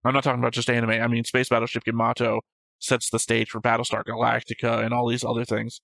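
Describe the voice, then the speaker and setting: A man's voice: mid-range voice, completely flat delivery, fast talker, creaky, fairly bright tone, no echo. Guy rambling thru a show discussion, jokey, casual, mid voice, really dry close mic. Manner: rambling, joking, talks in tangents. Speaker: co-host of a reaction show.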